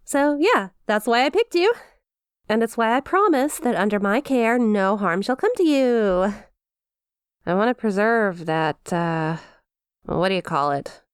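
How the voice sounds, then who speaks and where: Woman acting a slow-talking, lovestruck demon girl. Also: mid-range voice, darkish tone, no room sound, clean studio sound. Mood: tender, awkward. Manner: hesitant, flustered, mock-formal speech mixed with casual slang. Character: perky, centuries-old female demon imp, haughty yet awkwardly lovestruck. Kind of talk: ASMR character roleplay addressed to listener.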